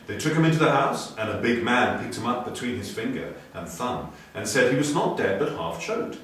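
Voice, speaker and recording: A man reading a children's story aloud with theatrical expression and clear enunciation. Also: medium pitch, lively intonation, brisk, fairly bright tone. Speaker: storybook reader. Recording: very echoey room, distant mic.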